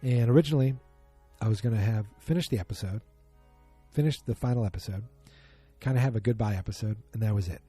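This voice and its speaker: A man's voice: on the low side, fast talker, warm tone, slightly rough, soft background music. A man hosting a solo podcast, chatting casually in a rambling, self-deprecating, joking way. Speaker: podcast host.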